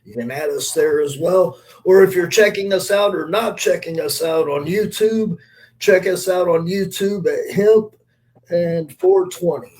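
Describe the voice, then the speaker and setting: A man co-hosting a podcast, chatting casually and giving friendly, appreciative shout-outs. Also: high voice, measured pace. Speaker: podcast co-host.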